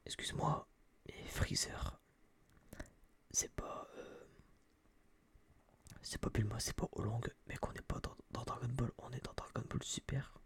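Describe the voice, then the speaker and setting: A young man whispering a casual, opinionated ASMR review in a breathy voice, rambling and slangy, close to a binaural microphone. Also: mid-range voice, steady tone, unhurried, pauses often. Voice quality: breathy, mostly unvoiced whisper. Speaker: host of an ASMR film review video.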